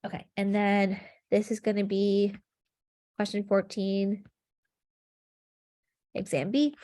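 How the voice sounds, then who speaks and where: A woman's voice: mid-range voice, completely flat delivery, slow, mellow. Sniffly young woman leading a chem review. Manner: friendly, filler-heavy explaining with frequent ums. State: sniffly, congested. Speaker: chemistry review session leader. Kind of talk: online review session over video call. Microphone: video call.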